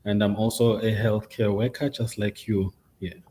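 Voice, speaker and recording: A man's voice: lowish voice, flat delivery, moderate pace, warm tone, slight background noise. A man from Zimbabwe, a guest introducing himself briefly and plainly on a live stream. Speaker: guest business partner.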